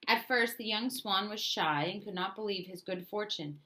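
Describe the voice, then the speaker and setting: A woman reading a children's storybook aloud in an expressive, emphatic way. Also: mid-range voice, normal speed. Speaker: storybook reader.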